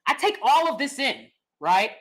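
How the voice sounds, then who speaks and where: A young adult woman talks straight to camera on her own channel. She is loud, blunt and freely profane. Her voice is on the high side and swings widely in pitch. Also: moderate pace. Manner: loud, animated, blunt with cursing. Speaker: vlog host. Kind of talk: casual commentary vlog.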